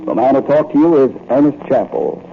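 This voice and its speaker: A man's voice: mid-range voice, animated, a little fast, background noise. A man making formal network announcements in 1940s broadcast style, on a muffled old radio broadcast. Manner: formal, declaiming.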